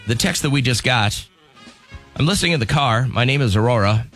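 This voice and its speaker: A man's voice: mid-range voice, expressive, brisk, a bit bright, soft background music. A man in his fifties co-hosting a morning radio show, chatting casually and laughing easily. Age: 50s. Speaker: radio co-host.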